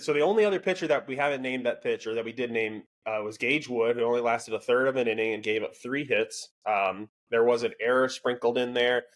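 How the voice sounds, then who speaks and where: A man co-hosting a casual college sports podcast, dry and sarcastic, with a self-described monotone delivery. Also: medium pitch. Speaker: podcast co-host.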